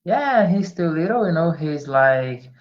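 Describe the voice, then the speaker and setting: A man's voice: on the high side, sing-song, a little slow. A man who speaks English as a learner, chatting casually and hesitantly with frequent small laughs. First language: not English. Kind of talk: casual chat in a language lesson. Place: online video call. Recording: dull lo-fi call audio.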